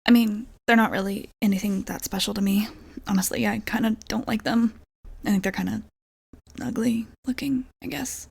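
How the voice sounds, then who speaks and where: Girl doing a nervous lady vampire roommate for asmr, kinda high voice w lots of vocal fry, rambly n apologetic. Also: a little mellow. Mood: self-conscious. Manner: hesitant, rambling, self-deprecating, often dropping toward a whisper. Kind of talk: ASMR roleplay, softly acted monologue.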